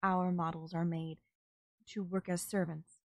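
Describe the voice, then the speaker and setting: A woman voicing a polite, puzzled, emotionless female servant robot in an audio roleplay, with formal, halting, literal phrasing. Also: lowish voice, level tone, no echo, clean studio sound. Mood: flat, matter-of-fact. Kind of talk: scripted audio roleplay, ASMR.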